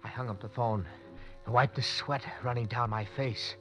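Nervous college-age lead male actor for classic radio thriller: tense, urgent delivery, forceful tone, music behind. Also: medium pitch, fairly even intonation, normal speed, natural voice. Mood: tense narration. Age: young adult. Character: nervous, desperate young male college student. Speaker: radio drama lead and narrator. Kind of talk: 1940s-50s radio acting with first-person narration.

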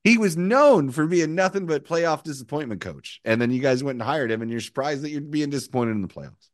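A man with a hoarse, worn voice, co-hosting a casual sports podcast and needling his friend. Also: on the high side, lively intonation, fairly quick, no room sound, studio quality. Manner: teasing, needling his co-host. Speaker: podcast co-host.

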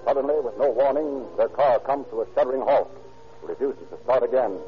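A man's voice: mid-range voice, fairly quick, slight background noise. Man narrating a vintage radio crime drama. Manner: formal, dramatic announcing. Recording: vintage radio broadcast, dull, music behind.

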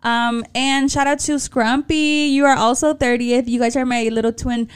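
A Mexican American woman in her late twenties co-hosts a casual podcast with a fairly high voice. Her talk is loose and full of swearing, and she laughs a lot as she drifts between English and Spanish. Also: steady tone, moderate pace, smooth. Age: late 20s. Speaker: podcast co-host. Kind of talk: casual chat.

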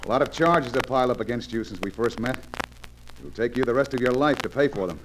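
An actor playing a steady, decent male adventure hero in an old radio serial, firm, reassuring and plain-spoken. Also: mid-range voice, quick pace, lightly acted. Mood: stern. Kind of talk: old-time radio drama acting. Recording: noisy old radio recording.

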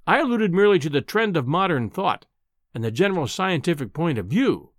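A man acts out a bluffing journalist from a storybook. He sounds nervous and falsely deferential, hedging politely in a fairly high, animated voice, recorded dry and close. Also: brisk, dry recording. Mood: bluffing politeness. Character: nervous, bluffing Irish male journalist feigning earnest politeness. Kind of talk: dramatic audiobook character acting.

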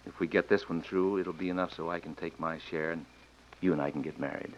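An actor playing an earnest, worried, tender mine owner with an American accent in a radio western. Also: male voice, low voice, fairly even intonation, fast, close to an everyday voice. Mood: resolute, tender. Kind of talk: old-time radio western acting. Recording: muffled, noisy old radio recording.

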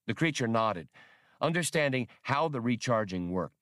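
A man's voice: medium pitch, animated, moderate pace, fairly bright tone, dry recording. A man giving a scripted audiobook narration of adventure fiction, recorded close to the microphone.

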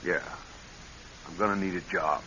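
An actor playing a resentful, weary ex-convict in a radio crime drama, talking in a terse, sardonic way. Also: male voice, deep voice, steady tone, normal speed, sharp tone. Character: bitter hard-bitten male ex-convict, resentful and weary. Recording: dull, hissy old radio recording.

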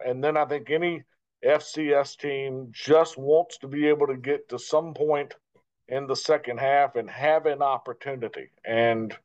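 A man, a sports broadcaster, giving measured, explanatory answers as a guest in an interview. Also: medium pitch, darkish tone. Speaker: guest radio play-by-play broadcaster. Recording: dull lo-fi line.

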